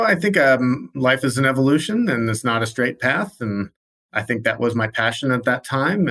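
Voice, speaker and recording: A man's voice: mid-range voice, pushed and bright. A man from Baltimore answering interview questions in a reflective way, often restarting his sentences. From Baltimore, Maryland. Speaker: guest.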